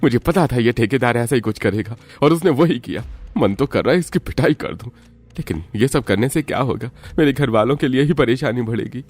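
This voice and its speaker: A man's voice: mid-range voice, animated, a little fast, darkish tone, no room sound, close to an everyday voice. A man playing a poor, earnest young labourer in a cartoon story, worried and pleading. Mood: frustrated.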